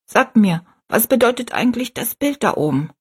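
A woman voicing a tiny, kind and wise old woman who speaks softly, slowly and in a hushed way. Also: fairly high pitch, big pitch swings, husky, pitched above the performer's own voice, exaggerated voice. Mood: gentle. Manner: soft, slow and hushed.

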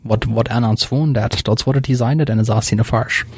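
A man who speaks calmly and in a measured way in a Bible discussion. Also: mid-range voice, slightly rough, no echo, studio quality. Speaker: discussion participant.

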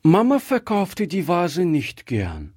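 A man voicing a bright, innocent little girl in a storybook, earnest and inquisitive. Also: high-pitched, wildly swooping pitch, slow pace, husky, no echo, clean studio sound, pitched above the performer's own voice, exaggerated voice. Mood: curious, chatty. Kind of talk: acted storybook dialogue.

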